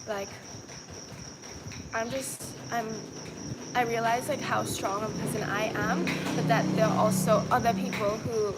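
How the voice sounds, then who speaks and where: A young woman with a Trinidadian accent, chatting casually to her live viewers with lots of fillers and restarts. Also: fairly high pitch. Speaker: vlogger. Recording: loud background noise.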